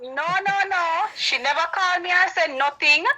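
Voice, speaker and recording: A woman's voice: extremely high pitch, moderate pace, bright, forceful tone. A woman with a Jamaican accent chatting casually over a phone call.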